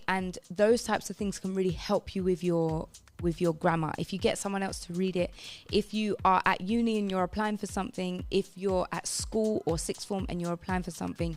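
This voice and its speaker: A woman with a British accent speaks as a radio presenter giving instructional advice. Her voice sits a little low, and she explains point by point with clear emphasis. Music plays behind her. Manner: emphatic, explanatory, often checking with "okay?".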